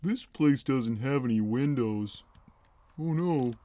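A man voicing a huge, gentle, simple-minded cat who talks plainly and innocently on a gaming livestream. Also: mid-range voice, no room sound, lightly acted. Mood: innocent puzzlement. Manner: plain, slow, naive, reads chat messages aloud. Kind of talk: in-character gaming livestream chat.

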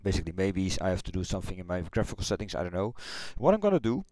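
A middle-aged man with a Dutch accent, chatting loosely through a replay of his racing game run, halting and correcting himself as he goes. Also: sits low, big pitch swings, warm tone, no echo. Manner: halting, rambling, self-correcting. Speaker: sim racing streamer. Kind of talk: casual replay commentary. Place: home gaming room.

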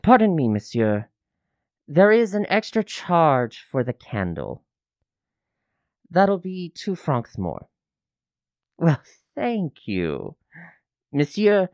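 A man plays a greedy, wheedling innkeeper in a radio drama. He haggles at a slow, theatrical pace with very animated pitch, putting on fatherly affection. The sound is close and dry. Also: no room sound, full character voice. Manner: oily, haggling, theatrically insistent. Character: greedy, wheedling male French innkeeper feigning fatherly love.

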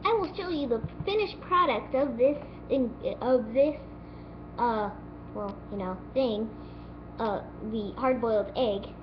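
A woman's voice: fairly high pitch, measured pace. A woman hosting a homemade cooking demonstration in a home kitchen, talking unscripted and sometimes stumbling over her words. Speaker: cooking show host. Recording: dull lo-fi home video with noise.

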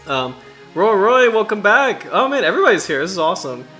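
A man in his thirties from the US Chesapeake Bay region, hosting a casual livestream in a halting, self-deprecating way, his throat slightly raspy from allergies. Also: high-pitched, very animated, bright, forceful tone, soft background music. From United States, Chesapeake Bay region. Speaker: livestream host.

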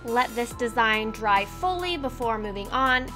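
A woman's voice: on the high side, animated, unhurried, music in the background. A young woman in her twenties chatting upbeat through a nail art tutorial in her bedroom, with self-mocking asides. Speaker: beauty vlogger. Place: bedroom, indoors.

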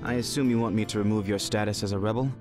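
A man voices a ruthless government official with a low, cold voice. He talks quickly and dismissively in clipped lines. Faint music sits behind him. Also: fairly even intonation, close to an everyday voice. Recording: game cutscene with music behind.

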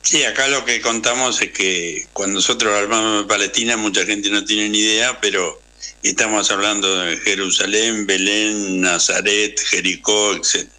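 A man hosting a radio talk show with a Rioplatense accent, his voice fairly low but very bright and cutting. He asks questions casually, filling gaps with hesitant sounds. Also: fairly even intonation, normal speed, poor audio. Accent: Rioplatense Spanish. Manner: informal, hesitant with fillers. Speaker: radio host. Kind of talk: radio interview.

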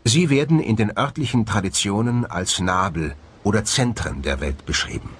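A man narrating a scripted documentary in a measured, explanatory way. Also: on the low side, animated, a little hiss or hum. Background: music under the voice.

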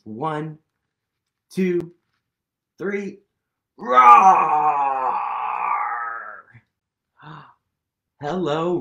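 A man in his thirties reading a picture book aloud to children, animated and playful, leading them in actions, recorded on a webcam in a small room. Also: fairly high pitch, gravelly. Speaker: storytime reader.

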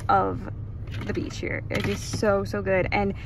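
A woman's voice: mid-range voice, completely flat delivery, unhurried, creaky, a little hiss or hum. A young woman filming a casual, chatty travel vlog on a handheld phone, gushing over shops and places. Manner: bubbly and chatty, lots of gushing. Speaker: vlogger. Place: outdoors and in shops at a beach town, later in a car. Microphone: handheld phone camera.

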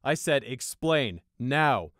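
Man as commanding fantasy guard captain, curt, forceful, unyielding, dry close sound. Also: mid-range voice, animated, takes it slowly, no room sound, voice pushed up. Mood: stern, commanding.

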